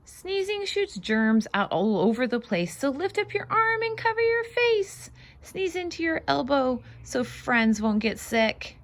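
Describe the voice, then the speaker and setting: A woman reads a picture book aloud for children. Her voice sits a little high and swings widely in pitch, with noticeable creak. She leans into the rhymes in an expressive, sing-song way and slips in casual asides. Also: measured pace. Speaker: read-aloud host.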